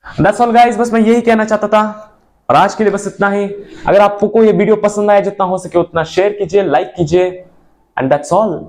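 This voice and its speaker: A young man speaking an earnest motivational monologue to his viewers, mixing in English phrases and swinging between emphatic and low moments. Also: fairly even intonation, moderate pace, darkish tone. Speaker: motivational vlogger.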